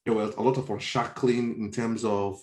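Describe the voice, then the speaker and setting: A man's voice: fairly low pitch, level tone, moderate pace, darkish tone. Nigerian guy on a podcast panel, emphatic, repeats himself working out a point, roomy echoey sound. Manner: emphatic, repeats phrases as he reasons. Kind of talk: conversational political discussion. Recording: roomy, echoey online call.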